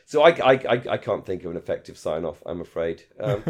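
A man's voice: deep voice, lively intonation, fairly quick. A man co-hosting a podcast in casual discussion, rambling and repeating phrases for emphasis. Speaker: podcast co-host.

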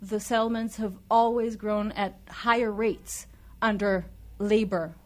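Israeli-accented woman explaining politics deliberately. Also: medium pitch, fairly even intonation. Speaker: interview guest.

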